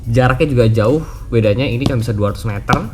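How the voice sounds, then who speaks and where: A man's voice: mid-range voice, fast talker, music in the background. A man with a Jakarta Indonesian accent giving a casual product review as a vlogger, informally mixing English words into slangy speech. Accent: Indonesian, Jakarta colloquial. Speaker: motovlogger presenting a product review.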